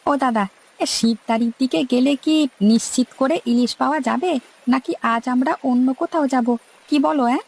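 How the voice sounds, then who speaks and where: A woman voicing an eager, deferential male boatman's helper in a cartoon, creaky, with a questioning, folksy manner. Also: high voice, a little fast, a little mellow, full character voice, rough recording. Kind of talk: cartoon story dialogue.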